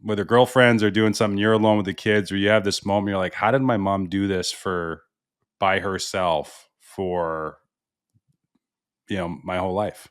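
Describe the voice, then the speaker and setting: A man's voice: low-pitched, fairly even intonation, normal speed, clear, resonant tone. A man hosting a podcast, asking enthusiastic questions full of "you know" fillers. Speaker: podcast host. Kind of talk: casual interview chat.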